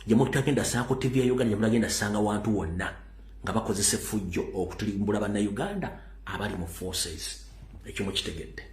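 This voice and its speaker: A man's voice: lowish voice, quick pace, a little mellow. Insistent male political commentator, echoey room. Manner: urgent, insistent, mixing English into his speech. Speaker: political talk show host.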